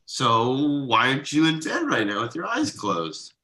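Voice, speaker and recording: Man playing a bright-voiced, easygoing tired dad. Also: medium pitch, big pitch swings, measured pace. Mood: gentle, explaining. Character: exhausted, easygoing 37-year-old slacker dad. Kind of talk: naturalistic comedy table read.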